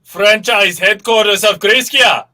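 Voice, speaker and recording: Improv performer, man, high bright tone, pompous delivery. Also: unhurried. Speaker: improv comedian. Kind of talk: improvised comedy scene.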